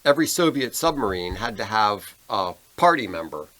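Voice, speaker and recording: A man's voice: on the low side, sing-song, moderate pace, pushed and bright, low-quality recording. An American man co-hosting a podcast in casual conversation over a beer. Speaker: podcast co-host.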